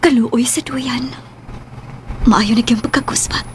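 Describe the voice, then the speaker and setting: A strict, longing mother in a Filipino radio drama. Her voice is high and Cebuano-accented, with dramatic emphasis. Clear ambient noise runs behind her. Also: steady tone, slow. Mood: wistful. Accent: Filipino, Cebuano-speaking.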